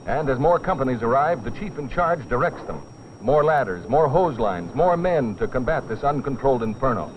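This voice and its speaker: A man's voice: mid-range voice, very animated, normal speed, a little hiss or hum. A man narrating a safety training film as a dramatic scripted story, in a grave, urgent, theatrical manner. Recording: dull vintage film soundtrack with music.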